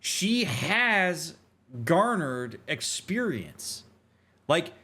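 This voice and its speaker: A man's voice: fairly high pitch, fairly bright tone, husky. A man streaming a game, giving reflective commentary in an earnest, emphatic, explanatory and conversational manner. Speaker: streamer, therapist host.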